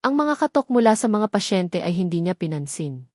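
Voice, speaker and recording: A woman's voice: on the low side, very animated, a little fast, darkish tone, dry recording, studio quality. A woman with a Filipino accent reading a scripted dramatic story as its narrator.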